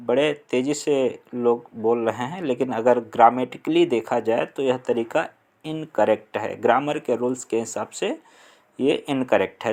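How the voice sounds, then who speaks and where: Slow, patient Hindi-accented male teacher. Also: medium pitch. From Uttar Pradesh, India. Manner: slow, deliberate explaining with filler pauses. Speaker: English teacher. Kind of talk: spoken English lesson.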